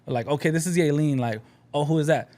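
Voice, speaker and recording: A man's voice: a little high, quick pace, darkish tone. A man co-hosting a podcast, talking in a laid-back, rambling way full of fillers. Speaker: podcast co-host. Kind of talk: casual chat.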